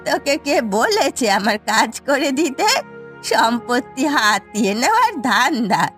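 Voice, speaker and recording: A woman's voice: high-pitched, big pitch swings, measured pace, a bit bright, soft background music, heavily acted. A woman voicing a querulous, suspicious old village grandmother who complains and scolds in a cartoon. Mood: indignant. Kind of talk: Bengali cartoon voice acting.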